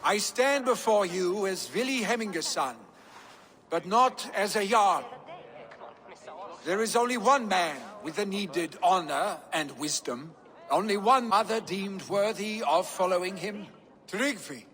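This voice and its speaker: A man's voice: high-pitched, lively intonation, unhurried, fairly bright tone. An actor playing a proud, restless young Viking warrior man in a video game, speaking earnestly and with some grandeur. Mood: solemn, proud. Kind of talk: dramatic video game acting. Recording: long hall reverb.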